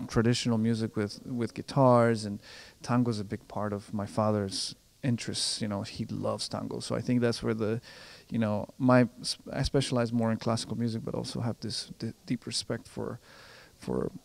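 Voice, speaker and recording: Gravelly low-voiced man, flat delivery. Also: moderate pace, hardly any pauses. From Colombia. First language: Spanish. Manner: hesitant, many restarts and fillers. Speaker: composer and pianist. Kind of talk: interview answers.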